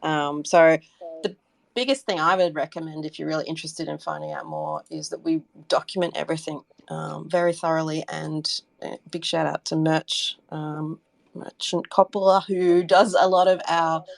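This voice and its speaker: Woman w low creaky voice, casual interview answers, kinda hesitant, dry sound. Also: fairly even intonation, normal speed, no echo. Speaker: community project representative being interviewed. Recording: online audio chat room.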